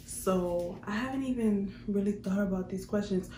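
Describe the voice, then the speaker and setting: A woman's voice: medium pitch, normal speed, mellow. Young New woman, roomy sound. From Queens, New York, United States. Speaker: vlogger. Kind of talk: casual question-and-answer chat to viewers. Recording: roomy echo, faint background noise.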